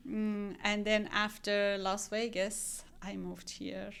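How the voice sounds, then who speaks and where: A woman from Iran with an Iranian accent, a real estate agent guest on a podcast, talking in an unhurried, warm way and laughing often. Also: mid-range voice, level tone, gravelly, clear, resonant tone. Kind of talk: podcast interview.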